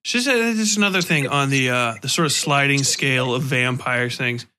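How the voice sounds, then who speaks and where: Male podcast host, bright forward voice, mid pitch, loose slangy conversational delivery. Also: brisk. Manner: informal, slangy, rambling. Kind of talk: casual chat.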